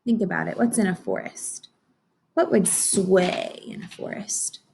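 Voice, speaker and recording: A woman's voice: fairly low pitch, unhurried, creaky, warm tone, slight background noise. A woman teaching young children in an interactive read-aloud lesson, encouraging and instructional, often putting questions to her listeners.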